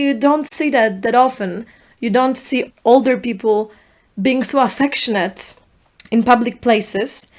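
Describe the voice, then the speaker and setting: A young woman with a Polish accent, a native Polish speaker, hosting a solo podcast in an earnest, reflective way, speaking in short halting phrases. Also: fairly high pitch. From Poland. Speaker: podcast host.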